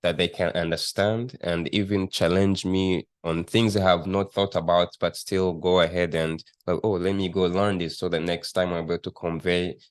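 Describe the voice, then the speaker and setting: A young man from Rwanda, a guest telling his story in an interview, thoughtful and halting, often restarting his sentences. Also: low-pitched, little pitch movement, no echo, clean studio sound. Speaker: guest, student entrepreneur. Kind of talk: conversational interview.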